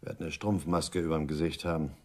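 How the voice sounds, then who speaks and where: An actor playing a blunt, matter-of-fact criminal for hire in a radio crime drama. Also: male voice, a bass voice, little pitch movement, fast, a little mellow. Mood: businesslike. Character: hard-nosed, businesslike male criminal for hire.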